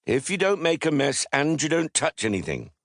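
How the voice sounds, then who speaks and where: A distracted father in an audiobook drama, busy at his work. His delivery is brisk and offhand, with big swings in pitch, recorded very dry and close. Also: very animated, a bit bright, no room sound, full character voice. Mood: brisk, dismissive. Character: preoccupied, brisk father working at home.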